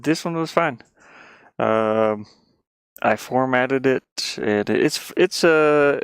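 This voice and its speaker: A man's voice: on the low side, fairly bright tone, clear, resonant tone, dry recording, studio quality. A man streaming casually, rambling and thinking aloud as he goes. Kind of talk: casual live stream chat. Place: inside a van he lives in.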